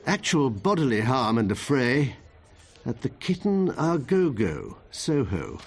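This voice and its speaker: An actor playing a glum, fussy, snobbish English barrister in a radio drama, plaintive and prim. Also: male voice, medium pitch, big pitch swings, a little slow, slight background noise.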